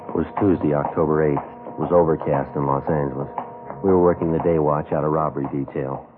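A man playing a terse, businesslike, deadpan police detective sergeant in 1950s radio acting style. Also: rumbling low, brisk, over music. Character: matter-of-fact, persistent male police detective sergeant. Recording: muffled, tinny vintage radio recording.

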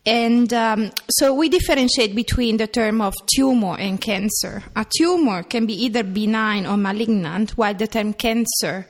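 A woman's voice: on the high side, moderate pace, hardly any pauses. A woman with a strong Italian accent, a clinician explaining things carefully in a podcast interview, with some restarts. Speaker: veterinary oncology clinician.

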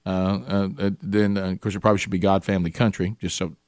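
A man hosting a talk show, giving casual political commentary in a halting way, with restarts and fillers. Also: sits low, little pitch movement, darkish tone, dry recording, studio quality.

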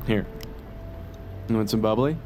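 An actor playing a nervous, eager male client in an immersive audio drama, halting and casual, easily flustered. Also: lowish voice, mellow, soft background music, lightly acted. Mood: impressed, playful.

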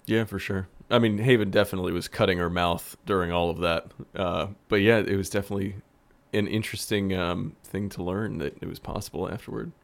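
A man's voice: low-pitched, steady tone, a little fast, gravelly. A man speaking casually as a participant in a podcast discussion about a show.

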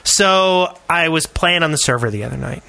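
Man, fairly high voice, bright and sharp, playful co-host, casual gaming chat. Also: lively intonation, moderate pace, creaky, no room sound. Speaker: podcast co-host. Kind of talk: casual banter on a gaming podcast.

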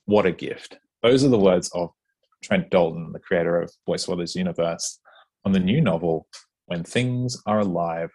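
A man hosting a casual interview, chatty and relaxed, with lots of fillers. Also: sits low, vocal fry, breathy edge, poor audio. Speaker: podcast host interviewing an author.

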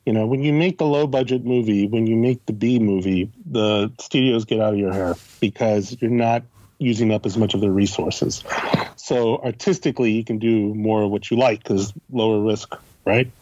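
A man's voice: on the low side, fairly even intonation, normal speed, warm tone, dry recording, lo-fi sound. A man speaking as a podcast guest and critic, explaining in conversation and often posing questions as he goes. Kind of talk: conversational interview commentary.

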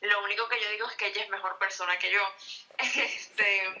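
A young Venezuelan woman speaks with a Venezuelan Spanish accent and a very bright, sharp tone. She is a novelist answering radio interview questions. Her talk is chatty and full of fillers. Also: medium pitch, steady tone, brisk, highs cut off, slight background noise. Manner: chatty, lots of fillers, laughs often. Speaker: novelist guest.

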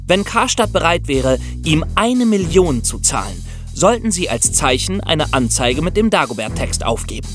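Male podcast co-host, animated and fairly high-pitched, explaining true crime conversationally. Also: moderate pace, hardly any pauses, faint music behind.

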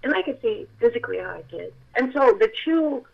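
An actress with a childlike voice telling a personal story with feeling, at times close to shouting. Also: female voice, a little high, brisk, vocal fry, narrow, tinny audio. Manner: animated, swells to near shouting at intense moments. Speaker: actress telling her testimony.

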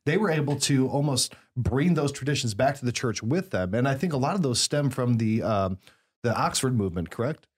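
A man's voice: medium pitch, lively intonation, fairly quick, husky. A man co-hosting a podcast, casual and jokey, steering the talk with leading questions. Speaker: podcast co-host. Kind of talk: casual chat.

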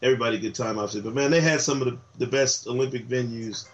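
Slightly hoarse man chatting on a podcast. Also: mid-range voice, very fast, muffled recording. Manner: animated, enthusiastic storyteller with many fillers. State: voice worn from travel. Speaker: podcast co-host. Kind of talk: casual sports chat.

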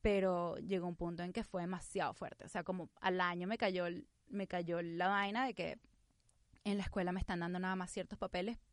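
Young Venezuelan woman guest, quick and lively storyteller, close dry sound, English phrases thrown in. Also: on the low side, dry recording. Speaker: guest, actress and comedian. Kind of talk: casual interview chat.

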